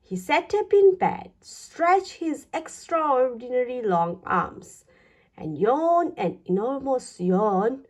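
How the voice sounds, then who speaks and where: A woman reads a children's story aloud with a lightly husky voice. Her pitch moves up and down in a very animated way, and she takes her time over each phrase in an expressive, deliberate style for young listeners.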